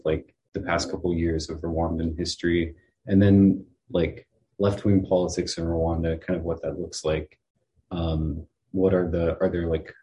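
A man's voice: sits low, dark tone, a little hiss or hum, low-quality recording. A young man interviewing a fellow student, asking loose, rambling questions full of fillers.

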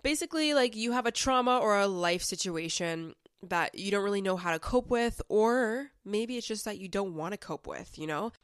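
A woman's voice: mid-range voice, expressive, normal speed, hardly any pauses, clear, resonant tone, no room sound, clean studio sound. A young woman hosting a casual self-help podcast, talking in a chatty, conversational way with lots of filler words while a little sick, her voice slightly off. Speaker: podcast host.

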